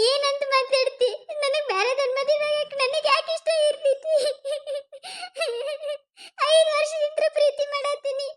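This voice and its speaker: A woman's voice: very high-pitched, fairly even intonation, fast talker, a bit bright. A woman with a North Karnataka Kannada accent acting as a distressed young college girl pleading with her boyfriend. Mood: weeping. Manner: pleading, breaks into teary laughter. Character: young woman college student, anguished, torn over marriage. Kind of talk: amateur skit acting.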